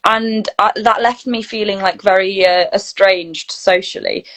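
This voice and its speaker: Female interview guest, medium-pitched, conversational and self-deprecating, thinking aloud. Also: fairly even intonation, measured pace, fairly bright tone. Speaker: interview guest, actor and voice reel studio founder. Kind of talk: casual chat.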